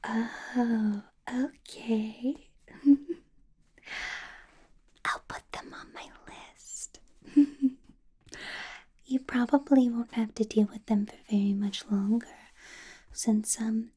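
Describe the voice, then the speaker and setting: A woman voicing a possessive ancient female vampire boss in a whispered ASMR roleplay, soft and doting with a quiet menace, close to the microphone. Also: fairly high pitch, fairly even intonation, drawn-out words, long pauses, breathy edge, full character voice. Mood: sweetly menacing.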